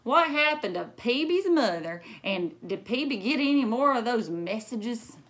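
A woman voicing a blustery, folksy old grandfather in a children's storybook, with drawn-out exclamations. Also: a little high, lively intonation, a bit bright, pitched above the performer's own voice.

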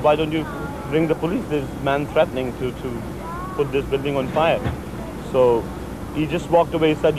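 Man in his 40s, eyewitness, halting and repetitive, mid-pitch, loud street noise. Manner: halting, repeats words, retells speech. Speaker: eyewitness business owner. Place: outdoors on a street by the fire. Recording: noisy outdoor news footage.

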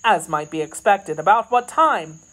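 A woman voicing a gallant young French swordsman in an audiobook, brisk, reassuring and decisive in manner. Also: fairly low pitch, lively intonation, fairly bright tone, rough recording.

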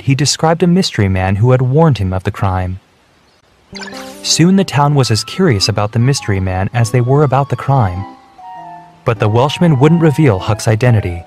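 A man reading a children's storybook, clear and measured. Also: medium pitch. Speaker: narrator. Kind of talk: scripted storybook narration. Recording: soft background music.

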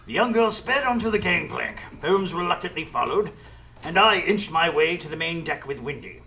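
An actor playing an earnest gentleman narrator, telling a detective tale formally in a live radio drama. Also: a little high, animated, moderate pace, slight background noise. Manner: formal storytelling. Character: Doctor Watson, earnest literary gentleman narrator.

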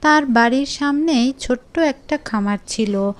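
A woman narrates a children's fairy tale in a high, clear voice. Her scripted storytelling moves slowly, each sentence set out on its own.

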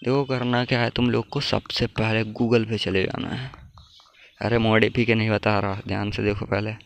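A man's voice: lowish voice, little pitch movement, normal speed, vocal fry, slight background noise. A young man giving a casual, informal app tutorial, talking to viewers like buddies. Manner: informal, addresses viewers as bro. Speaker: tech tutorial YouTuber.

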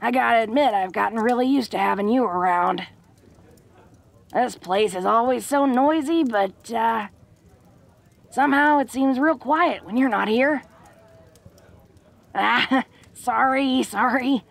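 A woman acts out a tough, smitten orc girl in a romantic audio roleplay. Her voice is fairly high with a gravelly fry, and she takes her time. She stumbles bashfully over her blunt, slangy words. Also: unhurried, long pauses. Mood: fond, bashful. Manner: bashful, stammering, informal tough-girl diction.

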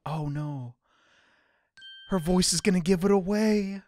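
A man's voice: high-pitched, unhurried, a little mellow. A man giving casual let's play commentary, rambling and self-deprecating while reading game text aloud.

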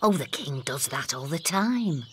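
A woman's voice: low-pitched, very animated, measured pace, fairly bright tone, slight background noise, full character voice. A woman playing a kindly, easygoing grown-up of a royal household in a children's cartoon, talking in a singsong, indulgent way to a child. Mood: cheerful.

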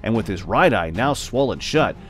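Guy narrating a sports doc, lively up and down voice, quiet music under it. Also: fairly low pitch. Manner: measured, storytelling. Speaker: documentary narrator. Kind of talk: scripted documentary narration.

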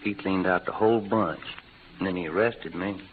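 A man acting a rough-talking cowboy outlaw in a 1940s radio western, with a folksy American Western drawl. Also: low voice, steady tone, moderate pace, natural voice. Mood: boastful, hard. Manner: folksy, slangy cowboy talk. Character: rough-talking cowboy playing the bad outlaw for love's sake. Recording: old noisy radio recording, phone-like band.

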